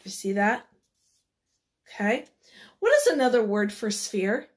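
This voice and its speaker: A woman reading a picture book aloud to children, expressively and deliberately, with dramatic emphasis. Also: mid-range voice, big pitch swings. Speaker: children's read-aloud storyteller.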